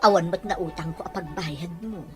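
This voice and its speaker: A woman's voice: lowish voice, a little fast, over music, lightly acted. A woman with an Ilocano accent acting a loving, worried middle-aged mother in a radio drama. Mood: worried, tender.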